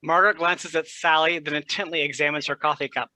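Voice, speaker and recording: A man's voice: a little high, steady tone, fast, pushed and bright, no room sound, rough recording. A man reading stage directions as scripted narration for a play.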